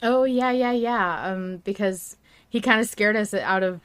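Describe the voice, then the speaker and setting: A woman's voice: medium pitch, unhurried, fairly bright tone, smooth, no room sound. A woman hosting a podcast, talking casually and emphatically as a fan with strong opinions. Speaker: podcast host discussing an audio drama.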